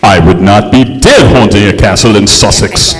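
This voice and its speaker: An actor playing a pompous, melodramatic, centuries-old aristocratic English ghost in a radio play performed on stage. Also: male voice, lowish voice, big pitch swings, moderate pace. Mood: indignant. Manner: grandiloquent, blustering, melodramatic. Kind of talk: old-time radio drama acting on stage. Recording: reverberant hall, live stage performance.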